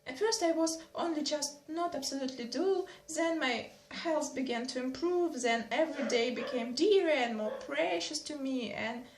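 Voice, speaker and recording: A soft-spoken, good-natured young nobleman, voiced by a woman reader, hesitant and unhurried. Her voice stays high and sounds roomy and echoing. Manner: mild, hesitant, slow. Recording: roomy echo.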